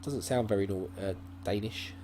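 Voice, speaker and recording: A man talks his way through a game save in a loose, thinking-aloud ramble, his voice sitting fairly low and mellow. A faint hum runs underneath from the laptop beside his desk mic. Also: normal speed. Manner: rambling, thinking aloud with many fillers and restarts. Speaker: gaming channel host. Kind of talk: casual let's-play commentary.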